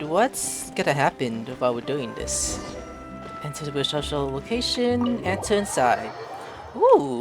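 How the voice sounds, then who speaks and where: Lady gamer commenting as she plays, lowish voice, jumpy intonation, casual muttering, game music behind. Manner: informal, muttering reactions with outbursts. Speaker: gamer, video host.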